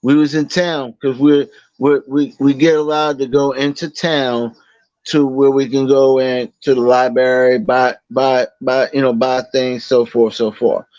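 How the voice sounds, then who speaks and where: A man, a guest telling his story slowly in a casual interview, halting with many fillers and restarts. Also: mid-range voice, little pitch movement, darkish tone, no room sound, highs cut off. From Boston, Massachusetts. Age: 40s.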